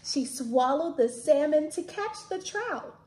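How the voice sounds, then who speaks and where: High-voiced, expressive woman reading to children. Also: slow pace. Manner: expressive, sing-song reading to young children. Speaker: children's storytime host at a library. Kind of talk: read-aloud picture book storytelling for children. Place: indoors, small plain-walled room. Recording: roomy echo from camera mic.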